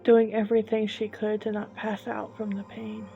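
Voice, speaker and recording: A woman narrating a dramatic story in a suspenseful, halting way, leaving long pauses between short phrases. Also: medium pitch, monotone, gravelly, mellow, highs cut off. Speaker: storyteller, host.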